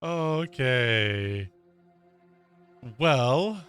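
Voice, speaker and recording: Casual male gamer over loud music. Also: medium pitch, animated, drawn-out words, smooth. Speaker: gaming video host. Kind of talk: casual game playthrough commentary. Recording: loud game music behind.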